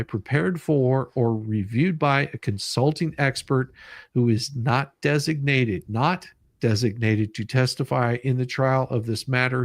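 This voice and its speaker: A man's voice: mid-range voice, no room sound. Emphatic man commentating live, dry close sound. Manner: emphatic, repeats and explains points. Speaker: livestream host. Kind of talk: casual live commentary while reading legal documents aloud.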